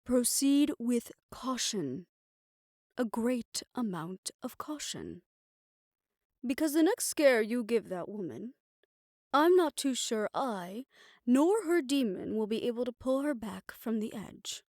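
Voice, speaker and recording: An actress voices a wry, level-headed woman in a fantasy audio drama. She speaks in a measured, gently cautioning way, like a trusted friend. The recording is close and dry. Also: on the high side, very animated, dry recording. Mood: gentle warning. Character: calm, wry older friend, a woman of curious mind. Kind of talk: scripted audio roleplay drama acting.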